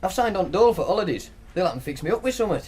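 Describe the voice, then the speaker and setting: A cocky young Northern English student in a radio play. His voice sits high with lively swings in pitch, and his delivery is casual and wry. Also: very fast, slightly rough, heavily acted. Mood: wry banter. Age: young adult. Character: Mark, cocky young student with a betting knack. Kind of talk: naturalistic radio drama dialogue.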